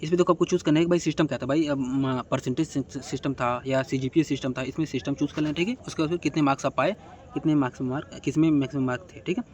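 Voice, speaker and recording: A man's voice: a little high, fairly even intonation, rapid-fire, darkish tone. A man giving casual, step-by-step instructions as a tutorial host, often adding short check-in tags.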